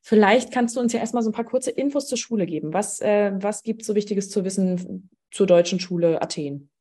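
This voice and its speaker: A young woman hosts a podcast with a relaxed, mellow tone. She interviews a student in a friendly, conversational way, with an occasional filler. Her pitch sits in a middle range. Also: a little fast, slightly rough. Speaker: podcast host from a university international office. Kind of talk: casual interview chat.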